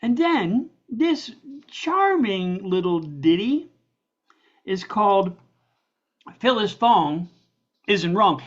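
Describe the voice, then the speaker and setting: A man's voice: very high-pitched, fairly bright tone. A man performing political poetry at an online open mic, with a theatrical, declamatory and satirical rhyming delivery. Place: online video call. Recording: dull online call audio.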